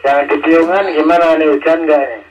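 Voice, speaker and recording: A man's voice: a little high, little pitch movement, a little fast. A man with an Indonesian accent heard over a two-way radio, working as an amateur operator in a casual exchange of call signs. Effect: two-way radio transmission. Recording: noisy radio repeater heard through speaker.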